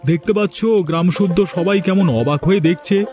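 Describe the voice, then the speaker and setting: A man voicing a hot-tempered middle-aged fish seller in a cartoon, loud and quick to anger. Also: sits high, faint music behind, voice pushed up, close to an everyday voice. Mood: cheerful. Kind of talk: cartoon voice acting.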